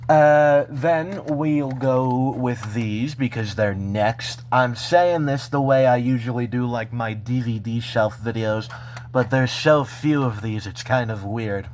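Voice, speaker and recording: A man talking casually and unscripted as he shows off a personal collection, halting between phrases. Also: mid-range voice, darkish tone, a little hiss or hum. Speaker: vlogger showing a personal collection. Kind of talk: casual unscripted show-and-tell.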